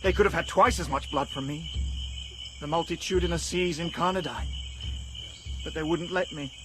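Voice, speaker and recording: An actor voices an earnest young man raised in a remote village, speaking slowly and solemnly in a fairly high voice. Crickets and insects chirp clearly behind him in this radio drama. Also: noisy background, lightly acted. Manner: solemn, earnest, halting delivery. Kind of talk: BBC radio drama acting.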